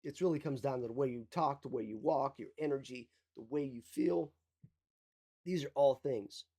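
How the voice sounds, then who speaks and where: A mindset coach giving an emphatic motivational talk, repeating key phrases, with a slight cough. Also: male voice, medium pitch, moderate pace, warm tone, husky.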